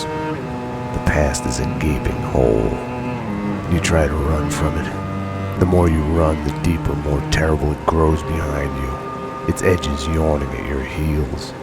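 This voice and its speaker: A man playing a brooding, haunted detective in a noir game, narrating grimly and deliberately in a gravelly voice. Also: rumbling low, heavily acted. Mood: bleak, brooding. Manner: grim, deliberate, world-weary. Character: brooding, haunted male detective narrating his own downfall.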